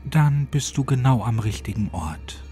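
A kindly, stout orphanage matron, played by a male narrator in a horror audiobook. She speaks in a warm, welcoming, motherly way. There is music behind her. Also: medium pitch, expressive, unhurried, lightly acted.

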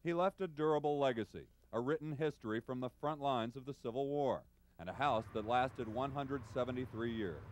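A man's voice: mid-range voice, brisk. Male news reporter, mid-range voice, scripted feature narration over faint background noise.

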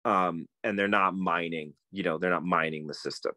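A man's voice: low-pitched, steady tone, normal speed, bright, forceful tone, dry recording, clean studio sound. A middle-aged man talking casually on a phone video call from a room at home, thoughtful and meandering, with many fillers and restarts. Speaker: guest, permaculturist. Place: home room with bookshelves, indoors. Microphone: phone video call, close up.

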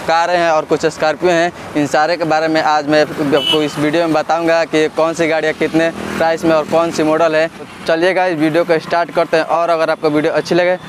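A young man vlogging at an outdoor used-car lot on a lapel mic, giving a brisk, repetitive sales-style rundown. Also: on the high side, little pitch movement. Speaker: vlogger showing used cars. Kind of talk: casual on-camera presentation. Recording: outdoor traffic noise behind.

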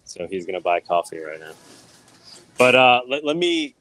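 A man's voice: mid-range voice, moderate pace. A middle-aged man filming himself in a grocery store, chatting eagerly to viewers and interviewing the owner through an earphone mic. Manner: excited, laughing, talking to camera. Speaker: show correspondent. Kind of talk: casual vlog interview. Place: grocery store checkout, indoors. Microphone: wired earphone mic.

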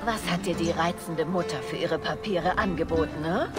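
A woman's voice: sits low, normal speed, lightly acted. An actress playing a cynical, manipulative female spymaster, a proud betrayer who speaks with taunting self-assurance in a dubbed video game. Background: loud music.

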